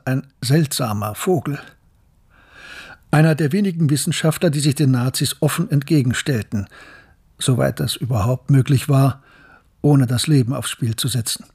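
Weary older physicist for radio drama: husky middle register, dry wit, evasive and disillusioned. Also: male voice, mid-range voice, darkish tone. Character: world-weary older German physics professor, bitter about love. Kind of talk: radio drama acting, dialogue and first-person narration.

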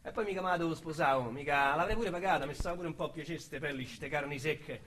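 A man's voice: fairly high pitch, fast, a bit bright, a little hiss or hum, natural voice. A man playing a brash, crude Roman ex-con. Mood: boastful storytelling. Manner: rough street slang, blunt and mocking. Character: brash small-time Roman ex-convict man, crude and boastful. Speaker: lead actor. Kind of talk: radio drama acting.

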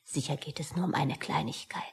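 A woman's voice: low-pitched, brisk, breathy edge, in a whisper. A woman acting a questioning, wry character in a radio play, with a probing, dry way of talking. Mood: wry, doubtful. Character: questioning, wry woman waiting outside a meeting.

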